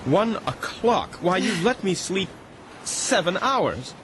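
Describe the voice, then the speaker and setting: An actor playing an earnest, considerate gentleman castaway in a radio drama, with a mild, hesitant, courteous manner. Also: high voice, sing-song, takes it slowly, full character voice. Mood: surprised, concerned. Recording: noisy boat and water ambience behind.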